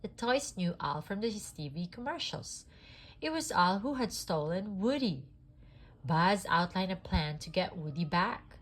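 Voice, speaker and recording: A woman reading a children's story aloud in a deliberate, expressive narrating style. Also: on the low side. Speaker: storybook reader.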